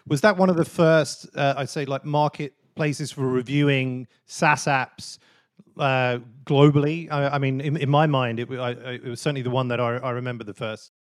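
A man's voice: medium pitch, fairly even intonation, dry recording. Guy hosting a podcast, medium voice, super dry close mic, eager, keeps restarting his sentences. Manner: eager, quick restarts, lifts voice on questions. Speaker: podcast host. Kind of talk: podcast interview.

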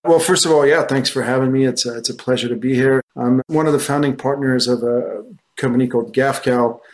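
A middle-aged man from France, a guest answering interview questions in a relaxed way, often restarting his sentences. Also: mid-range voice, a little fast. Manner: relaxed, with frequent restarts and fillers. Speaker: guest, research firm founder.

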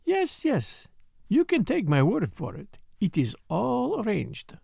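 A man's voice: high voice, huge pitch swings, slow, creaky, dark tone, slightly rough, dry recording, clean studio sound, pitched above the performer's own voice, heavily acted. A man reading a storybook, voicing a scheming, teasing Parisian woman dancer with a French accent and a purring, insinuating manner. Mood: smug insinuation.

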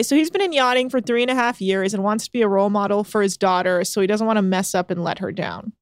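A woman recapping a show in loose, sarcastic chat and swearing freely. Her voice sits at an ordinary pitch for a woman. The recording is close and dry. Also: clear, resonant tone, dry recording. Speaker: podcast co-host.

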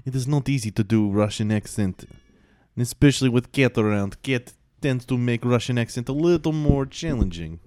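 A man's voice: mid-range voice, lively intonation, normal speed, darkish tone, no room sound, studio quality. A man hosting a podcast in casual chat, laid-back and rambling, with lots of fillers. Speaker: podcast host.

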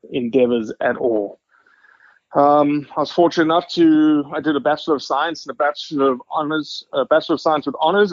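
A man's voice: a little high, dry recording, dull audio. A man in his forties from South Africa with a South African accent, a podcast guest talking casually and humbly, with many restarts. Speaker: guest, wildlife scientist.

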